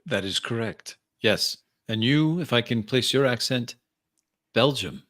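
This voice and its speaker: A man voicing a courteous Parisian gentleman traveler with a French accent, gracious and quick to laugh, in a tabletop roleplay. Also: mid-range voice, animated, no room sound, clean studio sound. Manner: polite, gracious, laughs easily. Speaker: player. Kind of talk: in-character roleplay.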